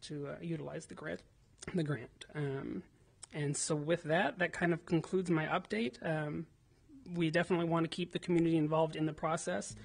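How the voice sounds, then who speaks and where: A man's voice: a little high, fairly even intonation, moderate pace, vocal fry, husky. Man giving a hesitant staff report. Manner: hesitant, many ums and restarts. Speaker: city staff presenter. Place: council chamber, at a podium.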